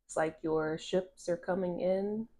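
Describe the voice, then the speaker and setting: A low-pitched woman with a congested, nasal voice reads tarot cards straight into her phone camera. She talks casually and rambles, restarting phrases and leaning on "like" as she feels her way through each card. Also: steady tone, a little slow, dark tone. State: stuffy nose from allergies. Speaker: tarot reader.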